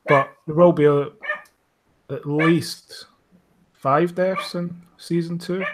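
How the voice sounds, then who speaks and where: A man answering casually as a creator guest on a podcast, in a measured, matter-of-fact manner. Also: on the high side, steady tone. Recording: clearly noisy recording with clicks.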